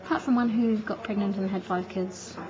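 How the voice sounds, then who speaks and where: A woman, a teacher answering interview questions in a relaxed, reflective way with easy laughs. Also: mid-range voice, a little fast. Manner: chatty, laughs easily, reflective. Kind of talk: casual phone interview. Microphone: phone. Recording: muffled phone-call recording with crowd noise behind.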